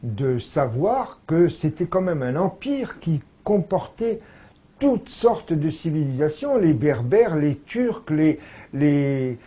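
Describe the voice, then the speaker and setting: A man with a fairly high, mellow voice that has some huskiness to it. He explains things like a learned interview guest, wandering into asides and agreeing emphatically. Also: sing-song, takes it slowly, husky. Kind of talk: conversational, erudite explanation.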